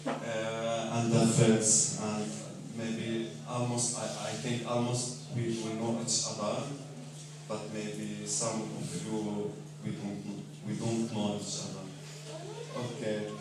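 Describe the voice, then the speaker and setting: Slow, earnest man in an echoey room. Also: on the low side, monotone, heavy background noise. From Lebanon. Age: 30s. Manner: slow, earnest and reflective, with restarts. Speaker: storyteller. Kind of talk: personal story read aloud. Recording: very echoey room, voice far from mic.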